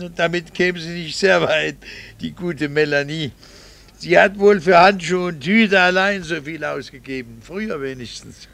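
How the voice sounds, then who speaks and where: Gravelly middle-aged doctor, wry husband. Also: male voice, a little high, a bit bright. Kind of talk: 1960s radio drama acting.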